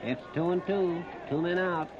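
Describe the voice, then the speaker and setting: A man's voice: sits high, crowd noise. Muffled, noisy vintage American baseball radio announcer, male. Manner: clipped, step-by-step narration in short phrases with pauses. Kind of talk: 1939 live radio sports commentary. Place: outdoor ballpark, broadcast position behind home plate. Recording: muffled, noisy 1930s radio disc recording.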